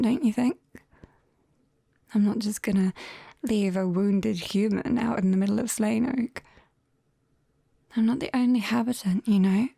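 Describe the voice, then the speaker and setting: A British woman playing a caring, clumsy young witch in a soft, often whispered ASMR roleplay, breathy and gently teasing, close to the mic. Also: a little high, dry recording, full character voice. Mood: playful, teasing. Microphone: close binaural microphone, dry.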